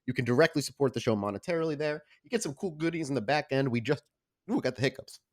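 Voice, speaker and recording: A man's voice: mid-range voice, fast talker. A man speaking informally as the host and storyteller of a tabletop roleplay podcast, making casual announcements to listeners. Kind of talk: casual show announcements and tabletop game narration.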